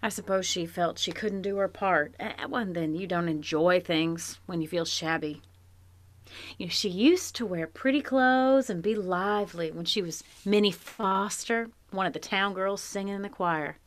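A woman's voice: medium pitch, moderate pace, natural voice. An actress playing a shrewd, plainspoken farm wife in a live audio drama, wry and rural in her talk. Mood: wistful. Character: shrewd, sympathetic farm wife, middle-aged. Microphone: home closet mic, dry.

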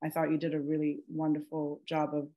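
A woman's voice: sits low, completely flat delivery, fairly quick, dull audio. A middle-aged woman offering casual, sincere remarks as an actor on a video call. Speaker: actor on a panel.

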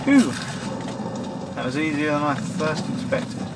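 A man's voice: fairly high pitch, very animated, measured pace. A young man commentating on a horror game as he plays, joking and yelping at the enemies that jump out. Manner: jokey, yelps and swears at enemies. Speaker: gameplay commentator. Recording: distant mic with loud game noise. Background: loud game sound.

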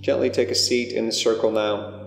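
A man leading a guided meditation, giving unhurried, hushed instructions with pauses between phrases. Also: on the low side, little pitch movement, gravelly. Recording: long echoing reverb, music underneath. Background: music.

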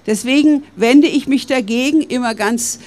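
An older woman from Berlin delivers a public speech outdoors into a stand microphone. Her voice sits a little high, and she builds her argument in a measured, earnest way. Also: darkish tone. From West Berlin, Germany. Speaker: public speaker. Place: outdoors by stone columns.

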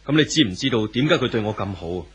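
An actor playing a frank, troubled lover in a 1970s radio drama, firm and reasoning, with a Hong Kong Cantonese accent. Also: male voice, fairly low pitch, animated, quick pace, slightly rough. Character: frank, troubled lover confronting a married man.

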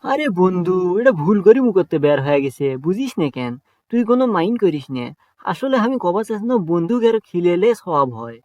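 A man's voice: high-pitched, sing-song, brisk, darkish tone, dull audio. A man with a Bogura accent voicing a broke, scheming young trickster in a cartoon comedy, with cheeky, teasing banter. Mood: sly. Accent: Bogura dialect of Bangla.

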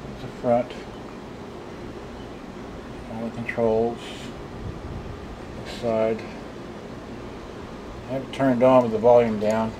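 Slow, rambling man on a noisy camcorder. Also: mid-range voice, flat delivery. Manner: rambling, muttering to himself in short fragments. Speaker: vlogger showing vintage electronics. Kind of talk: casual off-the-cuff product demonstration. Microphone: handheld camcorder's built-in microphone.

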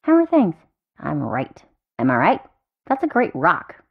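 Chatty, animated game character. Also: female voice, low-pitched, unhurried, creaky, breathy edge, phone-quality sound, exaggerated voice. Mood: chummy. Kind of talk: video game dialogue acting.

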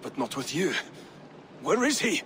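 A man's voice: fairly high pitch, sing-song, measured pace, a bit bright, slight background noise. A man playing a proud, angry Viking warrior who accuses and defies, in dramatic video game acting. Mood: accusing.

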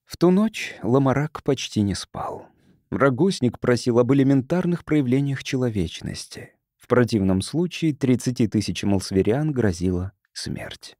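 Mellow male audiobook narrator, dry sound. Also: medium pitch, lively intonation, moderate pace, no room sound. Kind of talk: scripted narration of a science fiction story.

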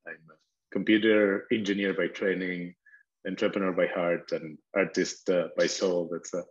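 A middle-aged man introduces himself on a video panel, friendly but hesitant, with many "uh"s. His voice sits very deep. The dull, lo-fi call audio takes the edge off it. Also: little pitch movement, normal speed. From Argentina. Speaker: project founder, panelist. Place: home office with framed art.

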